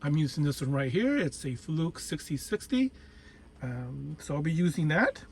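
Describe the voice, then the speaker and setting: A man presenting an informal hands-on technical demonstration, explaining step by step in halting, instructional phrases. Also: on the high side, sing-song, a little mellow. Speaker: tutorial presenter, radio hobbyist.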